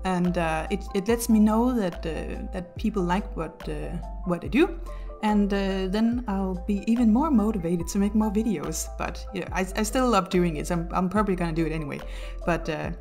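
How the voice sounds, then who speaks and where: A Danish woman with a Danish accent, talking through her build in a casual voice-over at home, halting with many ums and self-deprecating laughs. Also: mid-range voice, a little mellow, soft background music. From Denmark. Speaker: YouTuber narrating a build video.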